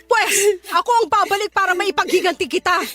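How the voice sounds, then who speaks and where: A woman's voice: extremely high pitch, fairly quick, pushed and bright. A woman playing a feisty, protective middle-aged Filipina mother in a radio drama, blunt and scolding, with a Filipino accent. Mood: angry, vengeful.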